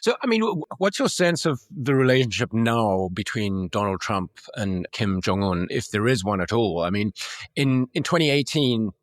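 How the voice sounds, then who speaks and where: A man hosts an interview in a conversational way. His pitch is on the low side. The sound is dry, with little room around the voice. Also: animated, moderate pace, dry recording. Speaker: interviewer, show host.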